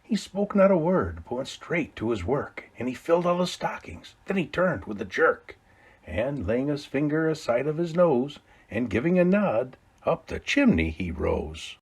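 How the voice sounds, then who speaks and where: Husky guy doing a storybook read-aloud, voice jumps all over, hushed then booming. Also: sing-song, moderate pace. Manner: dramatic swings from hushed low lines to loud bright calls. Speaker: storyteller reading a Christmas poem aloud.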